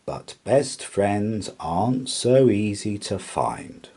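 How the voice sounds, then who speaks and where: A big, kindly male bear from a bedtime story, voiced by a man. He talks tenderly and very slowly. The tone is mellow and gentle. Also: on the low side, big pitch swings, husky, exaggerated voice. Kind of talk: children's storybook character voice.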